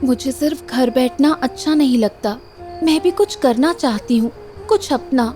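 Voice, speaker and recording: Cartoon village wife, woman performer: high-pitched, sincere, emotional, vulnerable but hopeful. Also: expressive, moderate pace, warm tone, faint music behind. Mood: shy, hopeful. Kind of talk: cartoon moral story voice acting.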